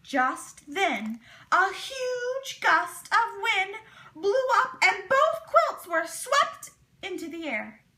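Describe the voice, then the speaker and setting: A woman reading a children's story aloud in an expressive, deliberate, dramatic way. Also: very high-pitched. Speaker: storybook reader.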